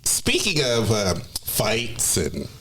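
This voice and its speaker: A talk show co-host who keeps up a steady run of "mm-hmm" and teases the other host. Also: female voice, very deep voice, takes it slowly. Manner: lots of "mm-hmm" backchannel, lively, teasing. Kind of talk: casual chat. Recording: noisy background.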